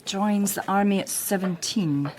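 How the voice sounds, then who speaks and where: A woman's voice: mid-range voice, measured pace, a little mellow, a little hiss or hum, close to an everyday voice. A woman playing a dry, teasing, matter-of-fact police detective in a radio drama. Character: quick-witted, dry female police detective.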